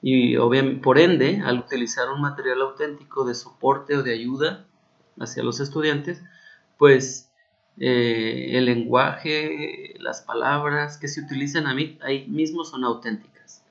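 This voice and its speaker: A man's voice: medium pitch, fairly even intonation, a little slow, vocal fry, highs cut off. A man from Mexico with a Mexican Spanish accent, a teacher patiently explaining a class assignment and often checking that students follow. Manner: patient, repeats key points with frequent check-ins.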